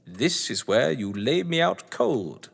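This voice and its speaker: An actor playing an older, upper-class British crime novelist, scheming and sardonic, in florid, pompous stage-drama style. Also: male voice, medium pitch, sing-song, slow, vocal fry, slightly rough, heavily acted. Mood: suave, scheming. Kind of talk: stage-play audio drama acting. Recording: echoey hall reverb.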